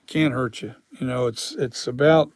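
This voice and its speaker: A man with a dry, hoarse voice, talking casually on a live stream about mask making, rambling and correcting himself as he explains. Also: medium pitch, steady tone, moderate pace, dry recording.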